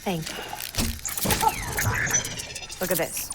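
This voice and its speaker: A woman's voice: extremely slow, loud music behind the voice. A woman playing a weary, wry young mother and scientist in a video game cutscene, explaining things casually. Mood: matter-of-fact, curious. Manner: casual, matter-of-fact technical explaining.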